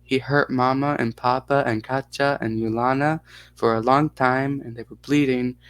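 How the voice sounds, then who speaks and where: A man voicing a frightened seven-year-old Russian girl who speaks halting broken English in a Russian accent. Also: medium pitch, steady tone, fairly bright tone, no room sound, close to an everyday voice. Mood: frightened, haunted. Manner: halting, simple broken sentences.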